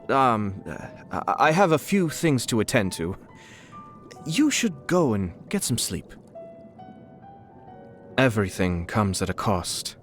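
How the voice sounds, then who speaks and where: An actor playing a suave young nobleman and scheming clan head in a video game, speaking in a polite, measured, formal and slyly amused manner. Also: medium pitch. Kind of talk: scripted video game character lines.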